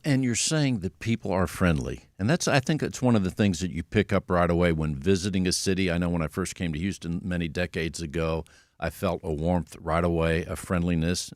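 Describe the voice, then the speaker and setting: A man's voice: low-pitched, brisk, no echo, studio quality. A man hosting a radio talk show who introduces his guest and prompts him with friendly, conversational questions.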